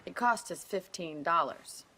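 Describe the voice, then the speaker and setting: A woman's voice: lowish voice, animated, measured pace, pushed and bright, exaggerated voice. An actress playing a practical, teasing frontier woman who talks bluntly in a Western video game. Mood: wry scolding. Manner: forthright, wry, questioning.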